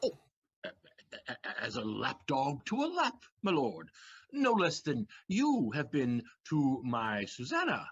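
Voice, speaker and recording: A man playing a quick-witted, cheeky manservant in a comic period play, theatrical and given to stammering evasions, on a slightly dull recording. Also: medium pitch, wildly swooping pitch, husky, exaggerated voice. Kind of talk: comic period stage acting.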